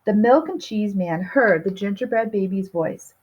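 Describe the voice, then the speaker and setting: A woman's voice: mid-range voice, moderate pace, muffled recording. A woman reading a picture book aloud to young children, expressively stretching key words and putting questions to her listeners. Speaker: storybook reader.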